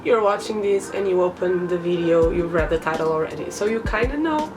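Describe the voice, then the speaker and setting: A woman's voice: on the low side, a little fast, recorded from a distance, low-quality recording. Twenties woman, Portuguese accent, casual vlogger, talking slightly off-mic over background music. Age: 20s. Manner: chatty, laughs often, restarts words. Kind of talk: casual vlog introduction.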